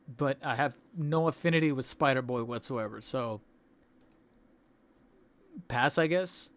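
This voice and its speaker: Young male streamer, fairly high voice, hoarse, casual rambling host. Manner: rambling, self-interrupting, laughs between thoughts. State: losing his voice. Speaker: toy channel host. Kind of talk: casual livestream wrap-up chat. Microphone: studio desk mic.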